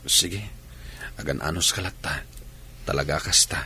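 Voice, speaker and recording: An actor with a Filipino accent playing a patient, caring young husband who coaxes and soothes in a radio drama. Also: male voice, rumbling low, fairly even intonation, moderate pace, sharp tone, slight background noise, heavily acted. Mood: coaxing, concerned. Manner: coaxing, soothing. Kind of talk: Ilocano radio drama acting.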